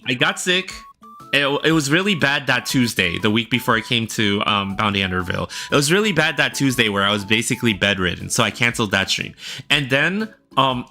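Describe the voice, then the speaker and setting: Male streamer, casual rambling chat to viewers, bright tone, faint music under. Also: mid-range voice. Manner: rambling, talks directly to viewers with frequent "right?". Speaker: livestreamer and illustrator. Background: faint background music.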